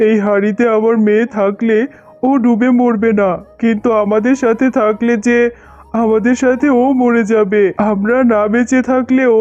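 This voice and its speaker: A man's voice: very high voice, little pitch movement, normal speed, darkish tone, highs cut off. A man voicing a caring, resolute jackal husband and father in a cartoon, speaking earnestly and reassuringly. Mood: sorrowful resolve. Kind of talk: cartoon dialogue.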